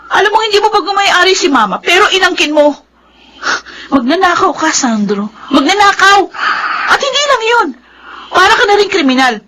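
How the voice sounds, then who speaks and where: A bitter young Filipino woman, with a high, sharp voice that swings widely. She is hot-headed, emotional and accusing, in radio drama style. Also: very animated, normal speed, full character voice, rough recording. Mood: furious accusing.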